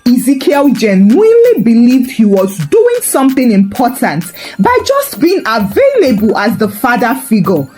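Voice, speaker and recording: A woman's voice: a little high, huge pitch swings, normal speed, few pauses, a little mellow, soft background music. A woman narrating a scripted story with wry, dramatic emphasis.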